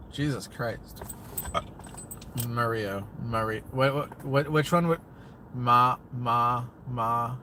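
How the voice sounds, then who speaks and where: A man's voice: medium pitch, bright, forceful tone. Young male streamer, rambling and scattered, phone mic in a parked car, faint noise behind. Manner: rambling, self-talking, scattered. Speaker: livestreamer. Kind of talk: casual livestream chat. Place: inside a parked car at night. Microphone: phone camera at arm's length.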